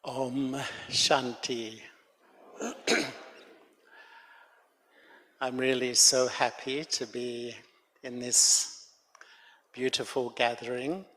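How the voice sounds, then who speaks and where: An older man speaks slowly and gently, telling his story in a reflective way. His voice sits at an ordinary pitch. It rings out with long reverb in a hall. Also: fairly even intonation. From Australia. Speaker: guest speaker. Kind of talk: spiritual talk to a gathering. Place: meditation center hall.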